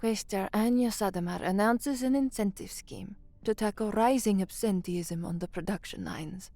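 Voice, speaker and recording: A woman's voice: medium pitch, normal speed, dark tone, dry recording, close to an everyday voice. An actress playing a young, anxious woman who works as a radio newsreader in an audio drama. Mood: formal, composed. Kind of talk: audio drama dialogue, narration and formal newsreading.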